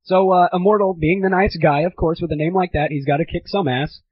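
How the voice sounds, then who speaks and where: A man's voice: high voice, fairly even intonation, a little fast, slight background noise. A teenage man hosting a casual comedy monologue on a gaming audio show, irreverent, crude and mock-grandiose, on a lo-fi recording. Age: teens. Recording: dull lo-fi 1990s internet audio.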